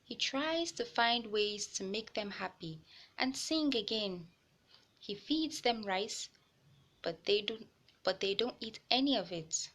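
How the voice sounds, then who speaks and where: A woman hosting a children's read-aloud, narrating a storybook with deliberate phrasing for young listeners. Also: medium pitch, expressive, fairly bright tone.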